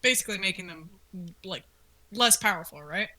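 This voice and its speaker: A woman's voice: medium pitch, expressive, normal speed, sharp tone. A woman co-hosting a casual commentary, informal and enthusiastic as she reacts and asks questions. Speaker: co-host asking questions about the series.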